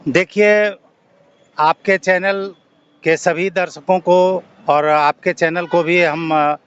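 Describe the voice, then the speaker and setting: A man's voice: high-pitched, steady tone, takes it slowly, a bit bright, clear, resonant tone, muffled recording. A man with a Bihari accent speaking spontaneously as an interviewee, making his case as an activist with rhetorical questions. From Bihar, India. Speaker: interviewee, social activist and former government officer.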